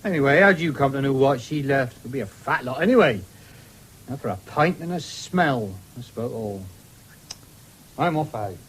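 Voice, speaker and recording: A husky, very animated voice: a gruff rural English farmer, husband and father. He is blunt, grudging and sarcastic, in the style of 1970s radio drama. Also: mid-range voice, normal speed, poor audio. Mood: sceptical.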